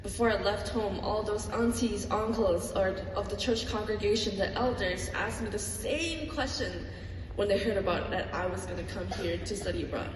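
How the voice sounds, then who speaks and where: A woman's voice: medium pitch, normal speed, slight background noise. Earnest young woman in a hall. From Malaysia. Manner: earnest, reflective, with light laughs. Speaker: student speaker. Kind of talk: personal talk to an audience. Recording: echoey hall from far off.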